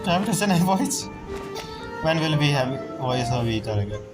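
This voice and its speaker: A woman's voice: low-pitched, sing-song, normal speed. A woman giving casual, blunt, sweary gameplay commentary as a streamer, with non-native phrasing. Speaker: game streamer. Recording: loud game music behind.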